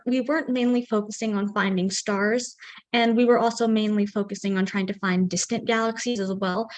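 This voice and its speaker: A teenage girl, a student presenter, answering questions with hesitant restarts. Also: medium pitch. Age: teens.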